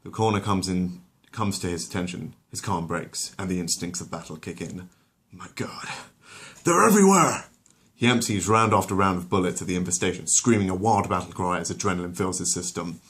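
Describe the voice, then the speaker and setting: A man with a low voice tells a bedtime story. He narrates with drama and drops into casual, conversational asides. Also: fairly even intonation, brisk, hardly any pauses, a bit bright, breathy edge. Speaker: storyteller and channel host.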